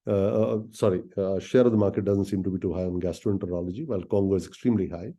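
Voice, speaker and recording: A man speaking as a company executive in a business presentation, explaining things directly to listeners in halting phrases with many filled pauses. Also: low voice, dark tone. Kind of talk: business presentation on an earnings call.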